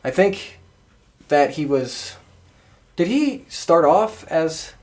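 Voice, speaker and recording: A man's voice: on the high side, sing-song, extremely slow, a little mellow. A man co-hosting a podcast, talking in a casual, conversational way. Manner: informal, conversational. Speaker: podcast co-host. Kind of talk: casual chat.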